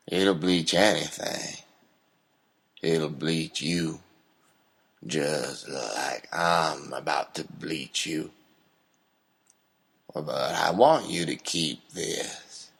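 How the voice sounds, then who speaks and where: A menacing, gravelly, very deep-voiced gang boss. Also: male voice, a bit bright, exaggerated voice. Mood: sly, threatening. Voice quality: gravelly, creaky. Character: menacing, depraved old drug-addled gang leader Cyrus. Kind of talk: surreal comic audio drama acting.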